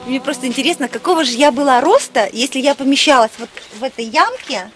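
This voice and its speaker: A woman from Russia with a high voice, recalling her childhood in an expressive, nostalgic way with emphatic exclamations. She speaks outdoors among residential buildings while music plays clearly underneath. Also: fast talker. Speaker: interviewee, actress. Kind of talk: reminiscing documentary interview.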